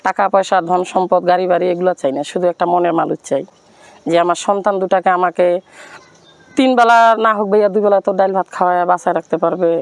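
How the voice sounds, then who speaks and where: A woman's voice: fairly low pitch, fairly even intonation, fast talker, dry recording. A woman with a Bangladeshi accent, earnestly and plaintively telling her life story and appealing to listeners. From Rangpur, Bangladesh. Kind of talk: personal account and plea to viewers.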